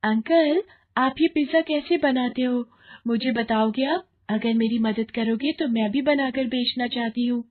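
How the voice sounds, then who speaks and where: Poor orphan girl, cartoon moral tale played by a woman: high, earnest, pleading, slightly dull sound. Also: a little slow, natural voice. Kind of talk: Hindi moral cartoon story acting.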